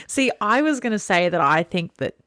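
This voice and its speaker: A woman's voice: mid-range voice, very animated, normal speed, a bit bright, slight background noise. A young Australian woman co-hosting a podcast in casual, conversational chat. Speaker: podcast co-host.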